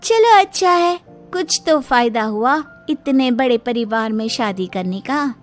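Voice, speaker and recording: A woman's voice: fairly high pitch, expressive, slow pace, faint music behind. A woman voicing a selfish, whiny young newlywed daughter-in-law in a cartoon story, with dramatic complaining delivery. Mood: gleeful, scheming. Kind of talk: Hindi cartoon story dialogue.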